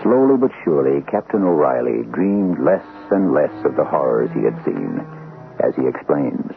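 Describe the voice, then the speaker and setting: A man hosting a radio mystery drama with measured, theatrical, ominous scripted narration. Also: a bass voice, very animated, gravelly. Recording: muffled narrow-band old radio broadcast. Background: music.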